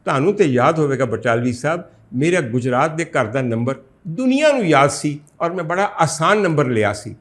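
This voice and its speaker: A man's voice: on the high side, big pitch swings, moderate pace. Man voicing an indignant, dramatic Punjabi woman. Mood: gossipy, confiding. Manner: exclamatory, emphatic, lamenting, conspiratorial.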